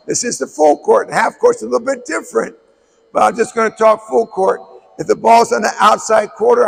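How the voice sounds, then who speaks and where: A middle-aged man with a hoarse voice, a basketball coach giving a clinic talk in a blunt, emphatic way that repeats key words. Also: high voice, low-quality recording.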